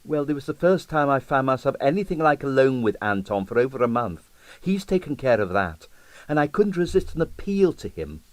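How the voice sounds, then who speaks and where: A man's voice: medium pitch, big pitch swings, fairly quick, dry recording, natural voice. A man reading the part of a candid, mischievous young ballerina in an audiobook, confiding and wry. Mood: confiding, upset.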